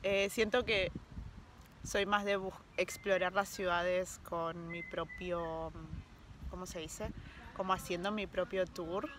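A woman in her thirties with a Rioplatense Spanish accent, chatting casually to a phone at arm's length in a leafy park, relaxed and searching for words now and then. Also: on the low side, slow, background noise. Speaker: vlogger. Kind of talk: casual travel vlog chat. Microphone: phone held at arm's length.

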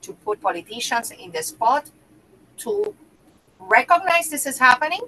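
A woman's voice: high voice, sing-song, moderate pace, bright, forceful tone, slight background noise, rough recording. A middle-aged woman co-hosting a podcast over a video call, speaking with passion and emphasis from a small room. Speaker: podcast co-host. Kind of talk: casual video-call chat.

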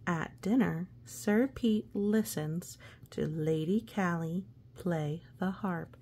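A woman's voice: on the low side, slow pace, dark tone, breathy edge. A woman reading a children's story aloud with playful, exclamatory asides, talking as if to a young child. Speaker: picture book reader.

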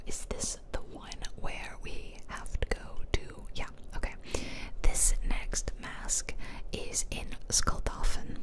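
This is a woman who speaks entirely in a soft, breath-only whisper. She takes her time, letting phrases drift out slowly as she hosts a gameplay video in ASMR style. The mic is very close, and she chats to the viewer and mutters to herself as she goes. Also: extremely high pitch, rough recording. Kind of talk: whispered ASMR game commentary. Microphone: close stereo mic, ear-to-ear whispering.

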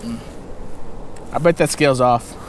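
A man talking casually and excitably while fishing from a kayak outdoors, into an action camera. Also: mid-range voice, a little slow, slight background noise. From North Texas. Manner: excitable, folksy exclamations. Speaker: fishing vlogger. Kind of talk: casual outdoor vlog commentary. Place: outdoors on a kayak on open water.

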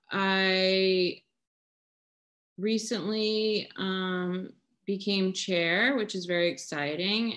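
A woman in her thirties from Vermont speaks over a laptop webcam on a video call from a lamp-lit room at home. She chairs a community meeting in a casual, halting way, filling her pauses with ums. Her pitch sits in a middling range. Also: fairly bright tone. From Vermont, USA. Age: 30s. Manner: halting, many filler pauses. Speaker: chapter chair and organizer. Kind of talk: casual meeting remarks and introduction.

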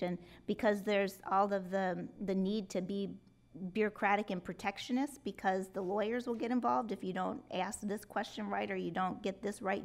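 A middle-aged woman answering interview questions at a hearing table, earnest and gesturing, often restarting her sentences. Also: medium pitch. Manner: earnest, expressive with hand gestures, many restarts. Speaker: superintendent candidate. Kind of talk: public job interview answers. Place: hearing room with rows of empty seats. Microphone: table microphone.

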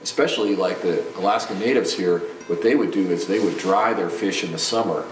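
Deep-voiced man, distant and roomy. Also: a little fast. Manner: casual, with filler "like". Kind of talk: interview answers. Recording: roomy, distant mic, faint music.

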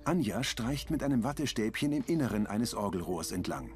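Ruhiger Sprecher der Hörfassung. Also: male voice, lowish voice, fairly quick, soft background music. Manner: calm, neutral reading. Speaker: audio description narrator. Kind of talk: scripted audio description.

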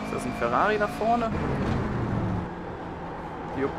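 High-voiced man with a slight cold. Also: big pitch swings, slow. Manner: thinks aloud, calculating fuel and laps. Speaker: sim racing streamer. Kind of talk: live racing commentary while driving. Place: home streaming setup, indoors. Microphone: headset. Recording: loud engine noise from game.

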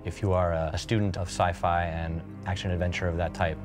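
A man's voice: low-pitched, little pitch movement, fairly quick, over music. A man involved in making a film, speaking in a documentary interview. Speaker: filmmaker.

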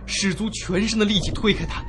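A man's voice: high-pitched, fairly even intonation, fairly quick, background noise, close to an everyday voice. An actor playing a terrified young man who narrates his own horror ordeal in a suspense radio drama, breathless and dramatic. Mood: tense narration. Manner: breathless, dramatic, swinging from hushed to panicked. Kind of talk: Chinese suspense radio drama acting and first-person narration. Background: dramatic background music.

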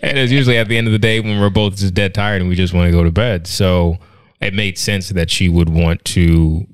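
A man's voice: low-pitched, fairly even intonation, a little fast, no echo, clean studio sound. A man in his thirties co-hosting a casual podcast at home, talking in a rambling, thoughtful way with many restarts and fillers. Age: 30s. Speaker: podcast co-host. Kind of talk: casual conversational podcast chat. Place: living room at home, indoors. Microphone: studio mic on a stand with headphones.

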